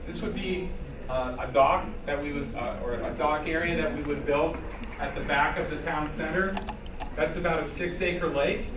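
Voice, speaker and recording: A man presenting a development project at a civic meeting, informal and halting with restarts. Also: fairly high pitch. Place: meeting hall. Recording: echoey hall, far from mic, noisy.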